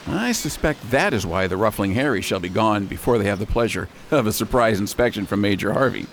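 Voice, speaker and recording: An actor playing a knowing official in a period radio drama. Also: male voice, fairly low pitch, animated, a little fast, a little hiss or hum. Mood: suspicious. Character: knowing official discussing a suspect ship.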